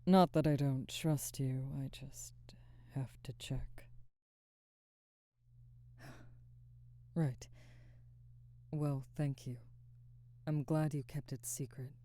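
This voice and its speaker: A young woman playing a guarded, burdened werewolf pack alpha in a soft, hesitant, intimate ASMR roleplay close to the microphone. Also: very deep voice, fairly even intonation, no echo, exaggerated voice. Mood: apologetic, burdened, then softening, grateful. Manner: hesitant, soft-spoken, halting, breathy sighs. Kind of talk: ASMR audio roleplay, intimate scripted monologue. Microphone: close microphone, dry.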